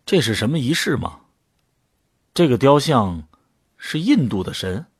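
A man's voice: mid-range voice, big pitch swings, unhurried, husky, dry recording, studio quality, heavily acted. A man playing a sceptical commando recruit who asks blunt questions in an audio drama. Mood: curious.

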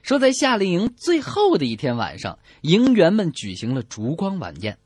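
A woman's voice: lowish voice, normal speed, slightly rough, dry recording. Female comedy radio co-host, very varied intonation, playful joke-teller, dry studio. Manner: animated, teasing, breaks into song. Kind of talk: playful scripted joke-telling banter.

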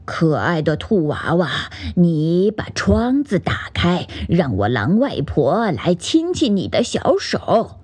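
Woman doing a low, sly big bad wolf. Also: sing-song, husky, no room sound, exaggerated voice. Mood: coaxing. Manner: hushed, coaxing, slow. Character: sly big gray wolf posing as grandma.

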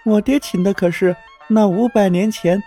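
A woman playing a plaintive young village daughter in a dramatized storybook, speaking softly. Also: medium pitch, fairly even intonation, normal speed, soft background music, natural voice. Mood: feigned concern. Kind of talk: dramatized storybook character acting.

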